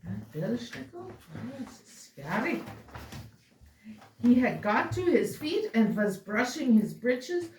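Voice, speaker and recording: A woman reads a rhyming holiday story aloud in a playful, theatrical, drawn-out way. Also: on the high side, very animated, darkish tone. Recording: roomy, distant mic, faint noise.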